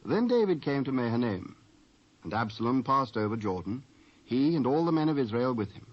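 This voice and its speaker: A man's voice: mid-range voice, very animated, a little fast. Muffled British male scripture narrator. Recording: muffled cassette transfer with faint noise.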